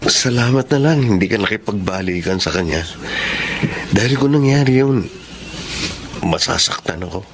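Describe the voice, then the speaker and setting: A man's voice: on the low side, expressive, normal speed, pauses often, music in the background. A man with a Filipino accent playing an earnest, polite, lovestruck young man in a radio drama. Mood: earnest, loving.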